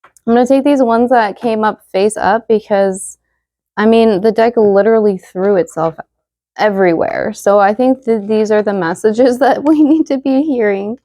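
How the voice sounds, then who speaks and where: A woman's voice: medium pitch, moderate pace, warm tone, no room sound, clean studio sound. Woman tarot reader losing her voice. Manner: chatty, many fillers, reads card messages aloud. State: losing her voice, slightly hoarse. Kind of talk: casual tarot reading to viewers.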